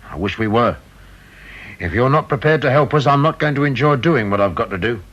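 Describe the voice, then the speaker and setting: Courteous, husky henchman. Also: medium pitch, lively intonation, fairly quick, a bit bright, muffled recording. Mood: regretful threat. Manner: deferential, regretful. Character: apologetic, courteous male henchman, quietly threatening. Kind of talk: radio drama acting.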